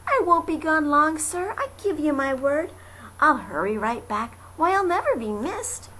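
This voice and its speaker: Woman as a high, wheedling lazy bird. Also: big pitch swings, unhurried, sharp tone, exaggerated voice. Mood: pleading. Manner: coaxing, sweet-talking. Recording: noisy amateur home recording.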